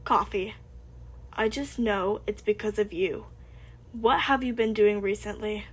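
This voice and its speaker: A woman voicing a tired, grumpy older man with a flat, weary delivery. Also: mid-range voice, unhurried, husky, voice pushed down.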